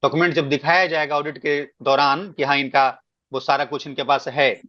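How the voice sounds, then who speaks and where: A man's voice: fairly high pitch, fairly even intonation, moderate pace, pushed and bright. A man explaining procedures in a work meeting, stressing points by repeating words. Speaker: meeting speaker giving guidance. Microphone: online conference call. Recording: dull, lo-fi conference call.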